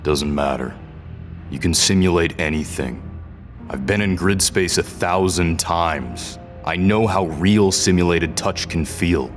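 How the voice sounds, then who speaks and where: Guy acting as a super deep voiced prisoner man, slow, halting, worn out but defiant, audio drama. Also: soft background music. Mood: stubborn. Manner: halting, guarded, stubborn. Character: defiant, disoriented male prisoner, weary but stubborn. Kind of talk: scripted audio drama dialogue.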